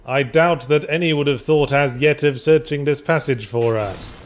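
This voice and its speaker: Barbarian hero, male reader: stern, commanding, archaic storybook lines, pitched a bit high. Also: normal speed, natural voice. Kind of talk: fantasy story reading.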